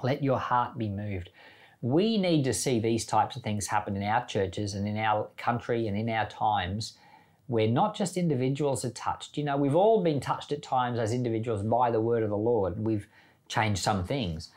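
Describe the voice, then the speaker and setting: A man's voice: medium pitch, moderate pace, darkish tone. A man speaking as a pastor on a Bible podcast, explaining scripture in an earnest, informal, conversational way. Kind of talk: conversational Bible teaching with commentary.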